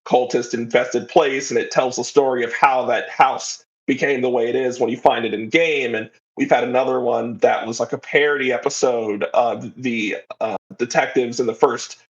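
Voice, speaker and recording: A man's voice: medium pitch, fairly even intonation, normal speed, hardly any pauses. A man speaking casually as an interview guest, a writer chatting about his work, on slightly dull, lo-fi audio.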